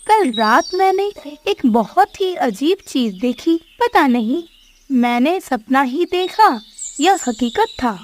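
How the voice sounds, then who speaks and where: Woman voicing a high, slow-talking cartoon forest animal. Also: very animated, full character voice. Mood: puzzled. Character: chatty female forest animals in a children's cartoon fable.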